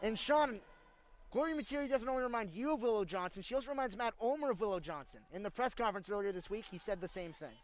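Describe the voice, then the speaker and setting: A woman's voice: medium pitch, animated, fast. A young woman giving live color analysis during a radio broadcast of a volleyball match. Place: sports arena broadcast.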